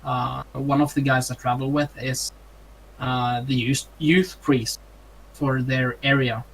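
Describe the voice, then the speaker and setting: A man's voice: mid-range voice, fairly even intonation, slow pace, gravelly, fairly bright tone, slight background noise, rough recording. Hesitant Norwegian-accented young man. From Norway. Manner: hesitant, many ums and uhs. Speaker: streamer, interview guest. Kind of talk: casual interview answers.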